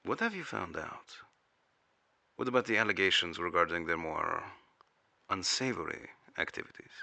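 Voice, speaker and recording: Male intelligence interviewer played by an actor for audio drama: formal and probing, bright forceful edge, dry close sound. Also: deep voice, expressive, normal speed, creaky, no room sound, heavily acted. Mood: intrigued. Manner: formal, inquisitive.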